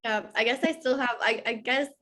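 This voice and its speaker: A woman's voice: on the high side, fairly quick, a bit bright. A woman in her late twenties, a podcast host, telling stories casually and poking fun at herself. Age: 20s.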